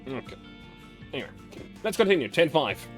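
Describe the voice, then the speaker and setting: Animated, slightly raspy man reading game story. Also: on the high side, faint music behind. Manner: halting, often stumbles and corrects words while reading. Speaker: livestream host. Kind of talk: live read-aloud of game story, with casual asides.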